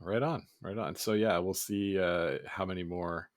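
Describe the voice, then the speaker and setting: Deep-voiced man with a scratchy throat. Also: steady tone, measured pace, no room sound, studio quality. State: slightly scratchy voice. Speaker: podcast co-host. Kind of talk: casual sports podcast chat.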